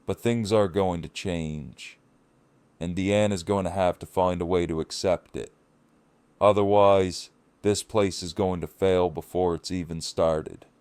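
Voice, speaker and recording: Man acting a grim, very deep-voiced survivor leader. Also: fairly even intonation, unhurried, a little mellow, smooth, dry recording. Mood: reluctant, firm. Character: Rick, grim wary male survivor group leader. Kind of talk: audio drama acting.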